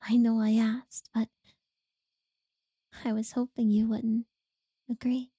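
Woman doing a possessive captor, breathy whisper, dark and flat, slow, dry close sound. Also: a little high, dry recording, lo-fi sound, full character voice. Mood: creepy tender. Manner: slow, intimate, unsettlingly sweet. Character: obsessive possessive woman holding a captive. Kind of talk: whispered audio roleplay.

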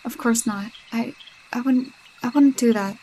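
A woman's voice: fairly high pitch, a little slow, poor audio. A young woman voicing a possessive, jealous girlfriend with a sinister streak, sweet-talking and coaxing in a roleplay audio drama. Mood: creepy menacing. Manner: sweet-talking, coaxing, with unsettling laughs and hushed asides.

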